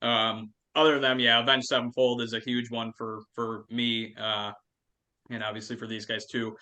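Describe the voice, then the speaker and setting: A man's voice: medium pitch, flat delivery, moderate pace, pushed and bright. A man in his twenties from Western New York, an easygoing metal band member chatting as a guest. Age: 20s. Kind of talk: casual chat.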